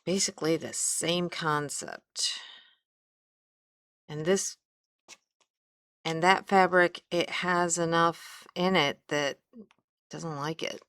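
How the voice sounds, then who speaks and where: Low, slow-talking Midwestern woman. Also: steady tone, a bit bright, smooth, no room sound. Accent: Midwestern American. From American Midwest. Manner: chatty, rambling, trails off mid-sentence. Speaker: craft instructor. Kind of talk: casual crafting tutorial.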